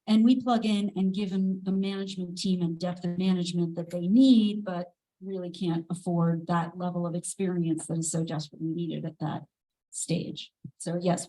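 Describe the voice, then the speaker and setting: A woman speaking as an investor on a live panel, explaining her points in a measured, deliberate way. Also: fairly low pitch, dark tone, rough recording. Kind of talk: panel discussion. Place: event space with a live audience.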